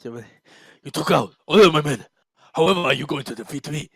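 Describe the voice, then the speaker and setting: A man's voice: fairly high pitch, normal speed, breathy edge, no echo, clean studio sound. A man voicing a boastful, villainous tyrant in a fan story, taunting and gloating.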